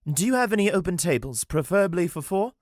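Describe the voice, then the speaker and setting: A man voicing a cheerful, excitable young male elf with a lifted, eager voice. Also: sits high, fast, dry recording, studio quality, pitched above the performer's own voice. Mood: upbeat.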